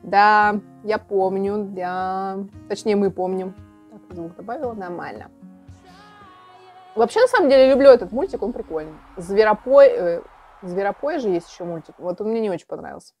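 A woman's voice: mid-range voice, lively intonation, moderate pace, a little mellow, faint music behind. A young adult woman chatting casually as she hosts a reaction video at home. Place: small room at home with bookshelves.

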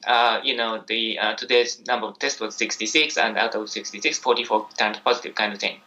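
A man with a Japanese accent, an infectious disease doctor answering questions at a press conference in a measured, explanatory way. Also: mid-range voice, bright, forceful tone, low-quality recording.

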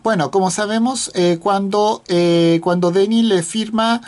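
A woman's voice: mid-range voice, a little slow, a little mellow. A woman reviewing a film in casual talk, hesitant, with many fillers and restarts. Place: indoors, at a computer screen.

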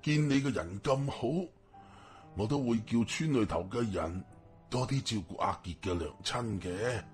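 A man voicing a shrewd old village witch woman in an audio story, teasing and unhurried. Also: on the low side, lively intonation, faint music behind, natural voice. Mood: kindly approving.

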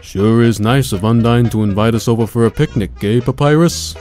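A man voicing a laid-back, pun-loving cartoon skeleton who teases his brother in a lazy deadpan. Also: medium pitch, fairly quick, close to an everyday voice. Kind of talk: comic dub cartoon acting. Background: music.

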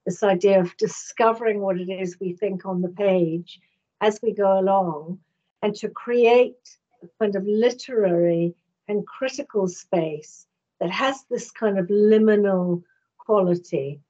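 A middle-aged woman hosts the interview, asking her questions in long, carefully built sentences at a mid pitch. She speaks into a laptop on a video call from a home room, and the audio is a little muffled. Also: measured pace, dark tone. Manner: articulate, long flowing sentences. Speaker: host. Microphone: video call, laptop mic.

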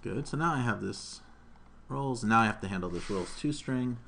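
A man's voice: medium pitch, expressive, fairly quick, creaky, fairly bright tone. A man in his thirties giving an informal programming tutorial, narrating each step aloud as he works. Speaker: programming instructor. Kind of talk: live coding tutorial, thinking aloud. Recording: noisy room mic with keyboard typing. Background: keyboard typing, room noise.

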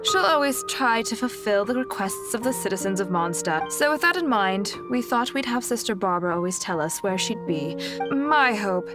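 A woman voicing a kindly, composed, protective nun in an anime game, with a gentle, measured, formal manner. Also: mid-range voice, expressive, music in the background, close to an everyday voice. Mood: gentle, concerned.

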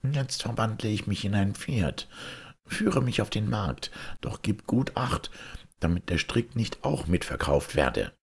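A man acts out a stern storybook sorcerer. He orders and threatens with cunning authority, in a gravelly voice pushed up from the narrator's usual pitch. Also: lively intonation, a little slow, exaggerated voice. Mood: commanding. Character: stern, cunning male sorcerer master, commanding.

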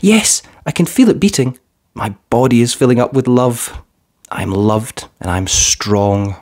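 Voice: mid-range voice, measured pace, darkish tone, natural voice. A storybook narrator voicing an earnest, kind five-year-old superhero girl, sincere and eager. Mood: excited, proud.

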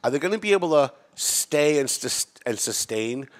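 A man's voice: mid-range voice, lively intonation, normal speed. Chatty fifty-something man hosting a podcast. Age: 50s. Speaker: podcast host. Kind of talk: casual interview chat. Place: TV-style studio set.

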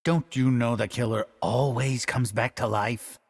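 A man voicing a sinister, taunting masked slasher killer for a video game, with a gravelly, husky voice and drawn-out menace. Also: mid-range voice, steady tone, in a large hall. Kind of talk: video game villain voice lines.

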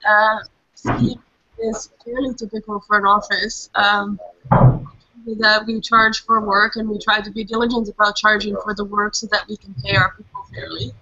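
A woman's voice: medium pitch, level tone, normal speed, pushed and bright. A woman architect being interviewed over a video call, explaining thoughtfully with fillers. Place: open-plan office. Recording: muffled video-call audio.

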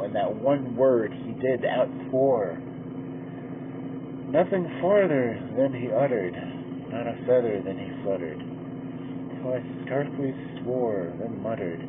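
A man reads a poem aloud in a drawn-out, theatrical way and rises to loud, pleading bursts. The sound is thin and boxy, like a phone line. A steady hum runs under his voice. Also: mid-range voice. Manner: slow, theatrical delivery that swells loud in the narrator's pleas. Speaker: poetry reader. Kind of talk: dramatic poem reading. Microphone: poor-quality mic.

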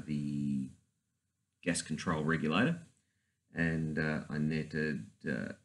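A man, talking casually and haltingly in a garage as host of his own channel. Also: low voice. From Australia. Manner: rambling, hesitant with many fillers. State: hoarse after a night out. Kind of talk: casual vlog. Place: cluttered garage workshop.

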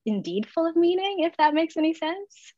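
A woman in her thirties speaking in a measured, reflective way on a video call from her living room, thinking aloud as she talks. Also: very high voice, very animated, mellow, dry recording. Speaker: artist and guest speaker. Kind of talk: reflective conversation. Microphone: video call with earbuds. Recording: dull dry video call audio.

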